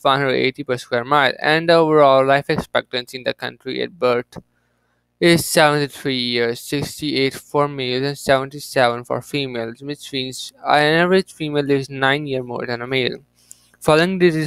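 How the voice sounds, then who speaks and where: A young man hosting a geography channel, reading an encyclopedia page aloud with halting restarts and offhand jokes. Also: medium pitch, gravelly, a bit bright, clear, resonant tone, no echo, rough recording.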